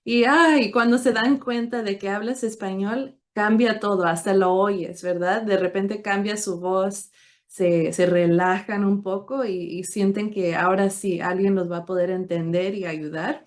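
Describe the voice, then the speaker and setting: A woman's voice: mid-range voice, steady tone, measured pace. A woman hosting a conversational interview, speaking in an encouraging, effusive way. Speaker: interviewer, show host; attorney.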